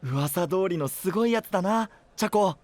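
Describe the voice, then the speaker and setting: A man's voice: sits high, animated, normal speed, darkish tone. A man voicing a cheerful, adventurous young male knight with an enthusiastic, easygoing boyish manner in an anime-style voice drama. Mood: admiring.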